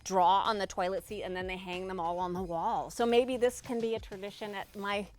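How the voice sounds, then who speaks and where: A woman hosting a casual festive show, chatting in an expressive, exclaiming way. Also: medium pitch, fairly quick, soft background music. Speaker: show host.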